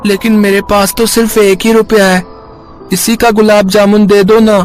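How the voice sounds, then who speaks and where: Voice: medium pitch, little pitch movement, normal speed, faint music behind, natural voice. A performer voicing a childish village boy in a storybook tale, with simple childlike delivery. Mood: pleading.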